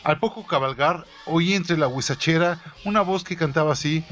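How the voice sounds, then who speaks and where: A man reading a first-person story aloud in a steady storytelling manner, his voice falling low at the ends of phrases. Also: normal speed. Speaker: narrator. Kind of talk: scripted audiobook narration.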